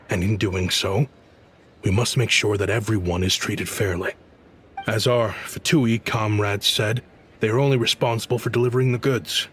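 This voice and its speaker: A man voicing a diplomatic, conciliatory town official in a video game, speaking in measured, soothing speeches. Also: fairly low pitch, a bit bright, breathy edge.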